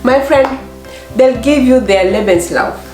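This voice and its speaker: Echoey, high-voiced Kenyan woman, slightly hoarse. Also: measured pace, a little mellow, soft background music. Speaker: vlog host. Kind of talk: casual chat to camera. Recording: echoey room.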